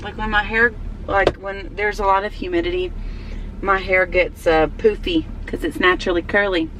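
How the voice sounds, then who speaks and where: A woman's voice: medium pitch, fairly even intonation, normal speed, noisy background. A woman from Alabama with a Southern accent, chatting casually to her viewers in a rambling way with many fillers while out running errands. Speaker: vlogger. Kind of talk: casual chat to camera.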